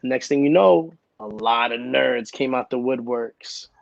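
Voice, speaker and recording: A man from Brooklyn, a comic creator talking in a relaxed way with street slang as an interview guest. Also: mid-range voice, a little slow, gravelly, no room sound, dull audio. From Brooklyn, New York. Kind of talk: casual interview chat.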